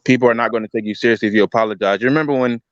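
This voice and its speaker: A man's voice: mid-range voice, fairly even intonation, rapid-fire, no echo. A man with a mid-range voice talks casually on a panel. He presses and repeats his points, and the audio is dry and muffled. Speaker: talk show panelist. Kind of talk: casual conversational debate.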